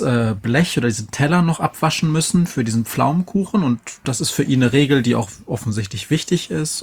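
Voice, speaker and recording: A man's voice: mid-range voice, vocal fry. A man making analytical remarks in a casual discussion.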